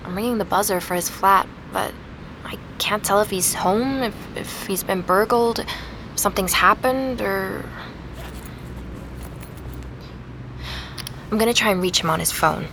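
Actress as young woman sleuth, naturalistic audio drama, halting delivery, earnest, rattled, noisy urban background. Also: medium pitch, close to an everyday voice. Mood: uneasy, narrating. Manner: hesitant, halting, with repeated words. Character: earnest young woman investigator recording her search, rattled and puzzled. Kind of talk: naturalistic audio drama, recorded diary and phone calls. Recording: street and vehicle noise behind.

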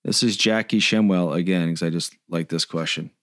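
Blunt, low-voiced man on a podcast. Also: fairly even intonation, moderate pace, fairly bright tone, dry recording, clean studio sound. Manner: blunt, opinionated. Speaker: podcast co-host. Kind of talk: casual conversational podcast discussion.

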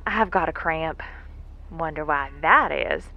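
An actress playing a weary, salty moon engineer in an audio drama, muttering to herself with effort and self-amused humour. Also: female voice, sits low, measured pace, muffled recording. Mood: relieved, wry. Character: weary, salty, wisecracking woman moon engineer working alone.

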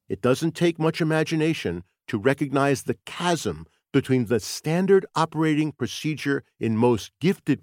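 A man reading his own nonfiction audiobook as its author, with emphatic, deliberate phrasing. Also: mid-range voice, darkish tone, dry recording, studio quality. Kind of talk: audiobook narration.

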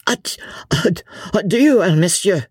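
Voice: medium pitch, moderate pace, a little mellow, no room sound, studio quality, pitched above the performer's own voice, lightly acted. A narrator voicing a proud, hot-headed young French nobleman who speaks formal, broken English in a French accent. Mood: choked anger.

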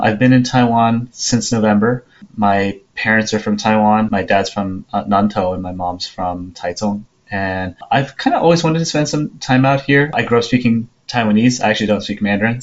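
Relaxed low-voiced man, chatty. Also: dull audio. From New Orleans, United States. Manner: relaxed, chatty, self-deprecating. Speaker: podcast guest. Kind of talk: casual interview talk.

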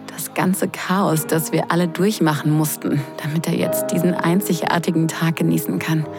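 A woman's voice: low-pitched, steady tone, moderate pace, music in the background. A woman acting a sarcastic, flustered young sister of the groom in an intimate, confiding audio drama. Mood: exasperated, confiding. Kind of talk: intimate first-person audio drama narration and dialogue.

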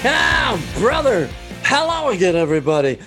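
A man hosting a podcast, reading a comic sports news update in punchy, deadpan bursts with sudden shouts. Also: high-pitched, normal speed, music in the background. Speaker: podcast host.

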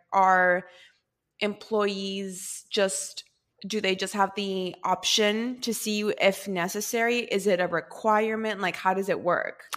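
A woman's voice: mid-range voice, a bit bright. A woman hosting a podcast, talking in a chatty, rambling way with many fillers and asides. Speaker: podcast host. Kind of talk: casual podcast conversation.

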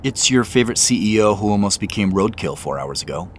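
An actor playing a wry, self-deprecating tech boss in an audio drama, conversational and playful yet guarded. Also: male voice, fairly low pitch, lively intonation, fast talker, slight background noise, close to an everyday voice. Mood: playful, amused. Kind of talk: naturalistic audio drama acting.

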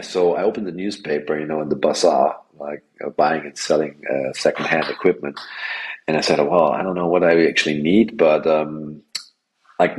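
A man's voice: very deep voice, a little mellow, low-quality recording. A man in his forties with an Austrian accent, a guest on a podcast interview, talking casually with many fillers and restarts. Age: 40s. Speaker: guest, wingfoil tour director.

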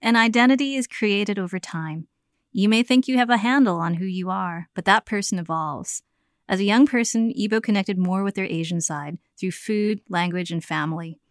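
An American woman speaks as a podcast host and narrator. Her delivery moves between prepared narration and conversation, at a middling pitch. The sound is close and dry, with very little room around it. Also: normal speed, dry recording. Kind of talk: scripted narration mixed with conversational interviewing.

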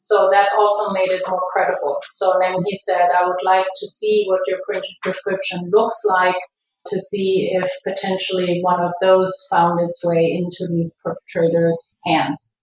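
A middle-aged woman speaks with a German accent, recounting things conversationally as a guest. Her voice is on the low side and very even in pitch. She sounds set back from the microphone in a very echoey room. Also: normal speed, slight background noise. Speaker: interview guest, dentist. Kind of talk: conversational recounting of personal experience. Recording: echoey room, distant from the mic.

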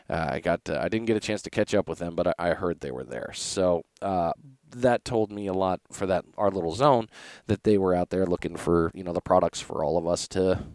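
A man hosting a casual solo podcast, a bit raspy from a recent illness, talking in a laid-back, rambling way with lots of uhs. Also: deep voice, a little mellow, dry recording, clean studio sound. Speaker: podcast host.

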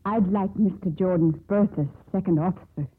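A woman's voice: lowish voice, moderate pace, husky. An actress playing a headstrong, self-assured young captain's daughter telling her sea story in a 1950s American radio drama. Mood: defiant. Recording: muffled lo-fi 1950s radio broadcast.

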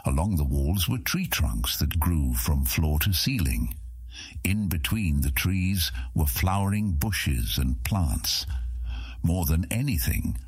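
A man's voice: deep voice, slightly rough, dry recording, clean studio sound. A man narrating a fantasy audiobook in a dramatic, scripted storytelling style.

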